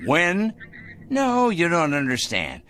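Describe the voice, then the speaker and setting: Smug paranoid crank, bright voice, slow and swooping, faint music under it. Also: male voice, fairly high pitch, huge pitch swings, heavily acted. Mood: scheming. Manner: theatrical, gloating, talks to his pet bird. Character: paranoid middle-aged man, smug, hateful zealot. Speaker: fanatical letter-writing crank. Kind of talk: 1960s TV drama acting.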